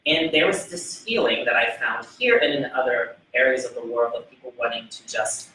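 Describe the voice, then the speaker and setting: A Brazilian man with a New York-tinged accent, hosting a casual cast discussion with rambling, animated stories. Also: medium pitch, moderate pace. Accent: Brazilian, New York influenced. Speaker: interviewer and film writer. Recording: echoey room, mic at a distance, faint noise.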